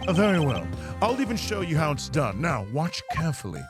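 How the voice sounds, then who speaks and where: A composed video game prosecutor, played by a man, haughty and exact. His slightly high male voice moves expressively through formal, deliberate, analytical lines, over clearly audible music. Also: big pitch swings. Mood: confident, instructive.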